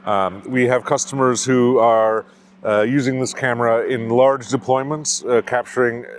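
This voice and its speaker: A man from the United States, speaking as a company founder at a mid-range pitch, walks through the details of a camera product. He explains in a steady, patient way with plenty of "uh" fillers. Faint outdoor noise sits behind him. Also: normal speed. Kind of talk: technical product interview.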